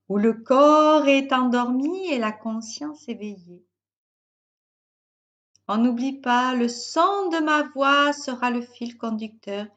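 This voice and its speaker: A woman leads a guided meditation. Her voice sits fairly high, and she speaks very slowly. She gives instructions in short, measured phrases with pauses between them. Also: lo-fi sound. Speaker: yoga teacher guiding a relaxation session. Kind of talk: guided yoga nidra meditation.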